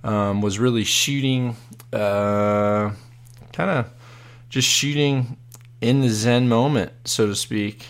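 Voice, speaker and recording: Hoarse man hosting a casual podcast. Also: on the low side. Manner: rambling, many fillers and restarts. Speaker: podcast host. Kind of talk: casual live podcast chat.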